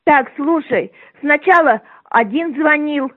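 A woman's voice: sits high, slow. A woman in her sixties on a phone call, scolding and insistent, repeating herself. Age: 60s. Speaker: prank call target. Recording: muffled phone line.

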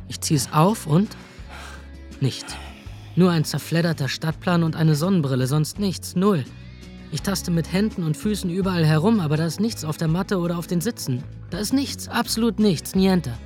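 A man narrating in the first person in a radio drama, rough and weary, with coarse language. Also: fairly high pitch, a little mellow. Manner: rough, weary inner monologue with coarse words. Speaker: narrator and lead character.

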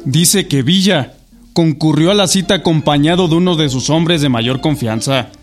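A man's voice: on the high side, normal speed, music in the background, close to an everyday voice. A man playing a folksy storyteller recounting anecdotes in a radio drama. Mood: storytelling.

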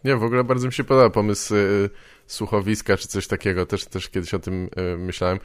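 A man hosting a casual podcast interview, asking informal questions in a halting way. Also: fairly low pitch, level tone, no echo, studio quality.

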